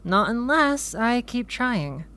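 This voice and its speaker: A woman's voice: fairly high pitch, sing-song, slow pace, fairly bright tone, smooth, heavily acted. A woman playing an anxious, persistent doctor who works in communications, hesitant and earnest in a naturalistic audio drama. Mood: earnest, stubborn.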